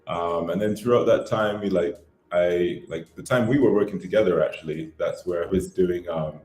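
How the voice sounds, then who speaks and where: A man in his thirties from Barbados, a podcast guest telling his life story in a relaxed, rambling way with lots of fillers. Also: low voice, normal speed, roomy sound, faint music behind. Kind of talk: casual interview chat.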